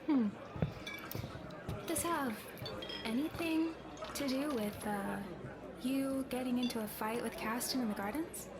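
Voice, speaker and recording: Woman acting high-voiced, teasing tavern server. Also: measured pace, long pauses. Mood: teasing concern. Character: gossip-savvy female tavern server, casual, teasing, concerned. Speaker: guest voice actor in an audio roleplay. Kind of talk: ASMR roleplay acting. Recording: busy crowd chatter behind.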